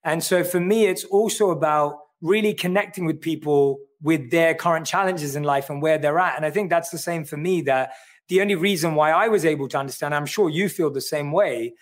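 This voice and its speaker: A man's voice: a little high, fairly quick. Earnest man with a London accent. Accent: London English. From London, England. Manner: earnest, reflective, explanatory. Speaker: author and guest. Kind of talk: livestream conversation.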